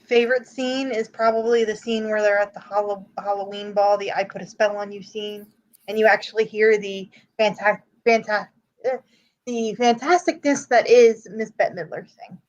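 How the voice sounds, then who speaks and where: A woman's voice: medium pitch, fairly even intonation, normal speed, dull audio. A woman co-hosting a livestream in casual conversation. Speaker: livestream co-host. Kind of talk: casual chat.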